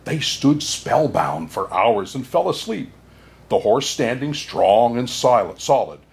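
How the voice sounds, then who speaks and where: A man playing a jolly, kindly old Santa Claus, excitable and chuckling, reading a children's storybook aloud with "oh my" exclamations. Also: medium pitch, a little slow, creaky. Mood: gently narrating. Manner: hearty chuckles and frequent "oh my" exclamations. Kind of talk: children's storybook read-aloud with asides to listeners.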